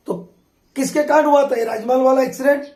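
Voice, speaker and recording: A hoarse man, a mining exam tutor talking through old test questions in a conversational way and repeating key points. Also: very high-pitched, steady tone, brisk, a little mellow, reverberant. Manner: conversational teacher, addresses students fondly, repeats key points. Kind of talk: explaining exam questions in an online class.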